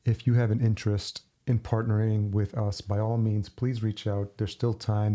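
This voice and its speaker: A man's voice: lowish voice, mellow. A man hosting a podcast, who chats in a friendly way and laughs easily as he introduces guests. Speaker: podcast host.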